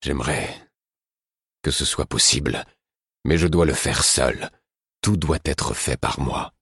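A man voicing an exhausted, solemn king in an audiobook, speaking slowly and gravely. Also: extremely low pitch, fairly bright tone, no room sound, clean studio sound, full character voice. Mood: weary. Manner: slow, weary and grave.

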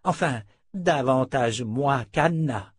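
A man's voice: mid-range voice, animated, slow pace, no room sound, studio quality. A man voicing a kindly old wizard, weary and short of breath, in an audiobook fantasy tale. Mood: weary, insistent. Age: older. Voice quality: breathy, faltering.

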